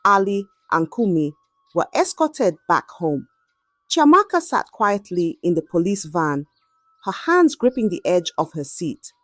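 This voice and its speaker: A woman telling a dramatic scripted story in an expressive, measured and suspenseful way. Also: mid-range voice, no room sound, studio quality.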